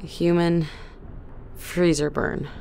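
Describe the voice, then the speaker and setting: Just-woken space traveler, lowish voice, hesitant, curious, halting, audio drama. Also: female voice, little pitch movement, vocal fry, warm tone, a little hiss or hum, heavily acted. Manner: tentative, halting, inquisitive. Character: hesitant, curious woman newly woken from cryosleep.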